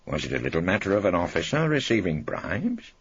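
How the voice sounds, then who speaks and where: Male reader as combative old English barrister, sardonic, theatrical, husky, widely swooping delivery, audiobook. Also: sits low, wildly swooping pitch, brisk, dry recording, lo-fi sound, full character voice. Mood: hectoring. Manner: theatrical, sardonic, hectoring in cross-examination. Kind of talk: audiobook character acting.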